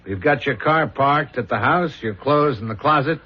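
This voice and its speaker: On a dull old radio recording, an actor plays a confident police interrogator. His medium-pitched male voice is measured and dry, calmly insistent in vintage radio-play style. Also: slightly rough, natural voice. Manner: measured, drily matter-of-fact. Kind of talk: old-fashioned radio drama acting.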